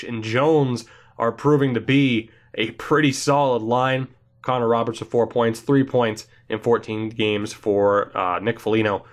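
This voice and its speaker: A young man hosting a gaming video, giving excitable, rambling let's play commentary on a hockey video game while congested from a cold. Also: medium pitch. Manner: excitable, rambling, thinks aloud.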